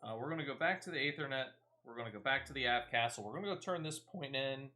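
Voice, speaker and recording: A man's voice: medium pitch, fast talker, bright, forceful tone. A man who streams games, chatting casually and conversationally with a viewer while he plays. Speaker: game streamer. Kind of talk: casual live-stream chat while playing a game.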